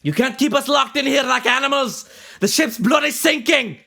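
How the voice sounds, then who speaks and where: A man's voice: very high voice, moderate pace, gravelly, bright, forceful tone, full character voice. A man playing a protective, fiery young Irishman in an audio drama, speaking urgently and emphatically. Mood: furious, desperate.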